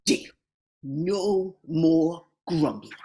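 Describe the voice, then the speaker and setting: A woman's voice: low voice, extremely slow, warm tone, pitched below the performer's own voice. A woman voicing a grumbling but fond talking Christmas decoration in an amateur radio play. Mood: gruffly fond.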